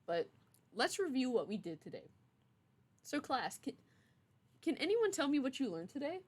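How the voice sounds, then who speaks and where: A woman's voice: sits high, sing-song, normal speed, a little mellow. A young adult woman streamer chatting casually with her viewers in a playful, teasing, mock-stern way. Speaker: streamer, game writer. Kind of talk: casual livestream chat.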